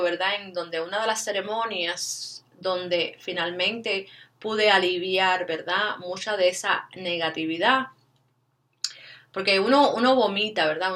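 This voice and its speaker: Cuban woman vlogger, bright, forward tone, tags questions onto her lines, slips in English fillers. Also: mid-range voice. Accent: Cuban Spanish. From Cuba. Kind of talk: personal storytelling to camera.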